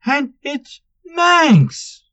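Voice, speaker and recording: A man's voice: fairly low pitch, a little slow, a little mellow, no echo, muffled recording. A man voicing the exasperated young Manx. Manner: exasperated, insistent.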